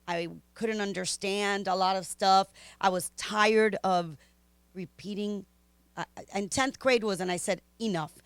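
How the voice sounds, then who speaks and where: A woman's voice: medium pitch, unhurried, smooth, no echo. A middle-aged woman from Miami with a Spanish-influenced Miami accent, a native Spanish speaker, telling her story candidly as a podcast guest with lots of ums and laughs. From Miami, Florida. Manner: animated, chatty, frequent ums and laughs.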